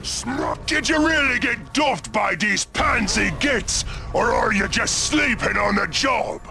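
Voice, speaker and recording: An actor playing a brash ork pirate captain in a video game, with a bellowing, gleefully thuggish manner. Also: male voice, extremely high pitch, lively intonation, slow, creaky, shouting, music in the background, full character voice. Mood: mocking, bellowing. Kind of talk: cartoonish video game acting.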